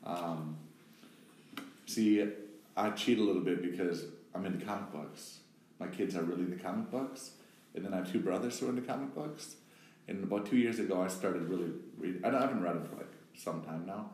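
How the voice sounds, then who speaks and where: A man from Wisconsin leading a group meeting, encouraging and lively, often laughing. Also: low-pitched, fairly quick, a little hiss or hum. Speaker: group leader. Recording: roomy echo.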